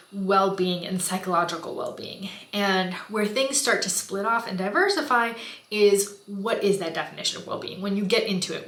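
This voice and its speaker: A woman's voice: mid-range voice, fairly bright tone, reverberant. A woman with a stuffy, congested nose, chatting casually and explaining as she does her makeup, with frequent fillers and apologetic asides. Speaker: beauty and mental health vlogger. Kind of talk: casual talking-head explainer while doing makeup. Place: home in Goma, Africa.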